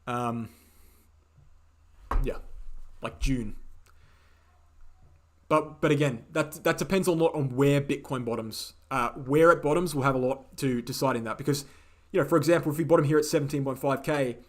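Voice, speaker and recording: A man hosting a live question-and-answer stream, speaking in a casual, opinionated way, repeating key phrases for emphasis, his voice slightly croaky. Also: medium pitch, moderate pace, long pauses. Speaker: host answering viewer questions. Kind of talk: casual livestream Q&A.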